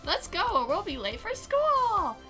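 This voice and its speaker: A woman's voice: extremely high pitch, wildly swooping pitch, unhurried, bright, forceful tone, soft background music, pitched above the performer's own voice, full character voice. A woman voicing a cheerful, bossy schoolgirl friend in a visual novel.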